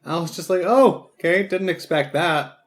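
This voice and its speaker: A man's voice: high voice, moderate pace. A man joining a casual movie podcast as a guest, jokey and playful. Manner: jokey, playful, mimics reactions.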